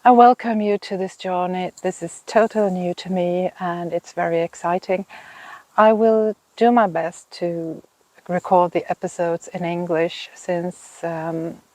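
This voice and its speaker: A woman in her fifties from Finland, a native Swedish speaker with a Finland-Swedish accent, hosting a knitting podcast in a casual, thoughtful way and pausing often to find her words. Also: lowish voice, fairly even intonation, a little mellow, no room sound, rough recording. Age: 50s. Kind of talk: casual chat to camera. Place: outdoors in a home garden.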